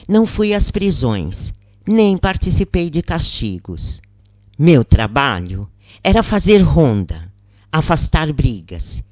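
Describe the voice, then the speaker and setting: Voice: mid-range voice, darkish tone, dry recording, studio quality. A narrator reading a scripted first-person story aloud.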